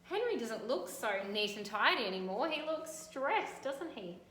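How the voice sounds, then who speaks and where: An Australian woman teacher reading a picture book aloud and gently pointing out details in the pictures. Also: a little high, very animated, moderate pace. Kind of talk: storybook read-aloud with commentary on pictures. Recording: echoey room, faint background noise.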